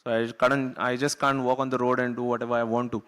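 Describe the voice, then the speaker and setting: A man's voice: mid-range voice, level tone, fast, a bit bright, clear, resonant tone. A man with an Indian English accent, a former cricketer from Goa, recalling his career on a panel in an earnest, chatty way. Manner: earnest, uses filler "you know", laughs while telling stories. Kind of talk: panel discussion anecdote. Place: conference hall.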